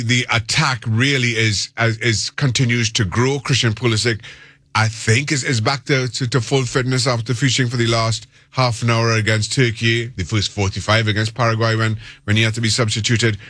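A man with a Trinidadian accent talks as a soccer analyst in a measured, articulate way, circling back on words for emphasis. His voice sits at a medium pitch, and the sound is dry. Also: steady tone, fairly bright tone, no echo. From Trinidad and Tobago. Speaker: soccer pundit, interview guest. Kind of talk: considered soccer analysis in conversation.

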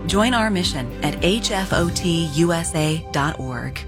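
Casting note: female ad narrator, low voice, music bed behind. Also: animated, a little slow. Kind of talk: scripted charity ad read.

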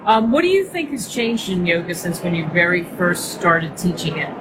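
A woman hosting an interview, encouraging and affirming her guest, then firing off quick follow-up questions. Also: fairly low pitch, background noise. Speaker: interviewer host. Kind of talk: casual interview chat.

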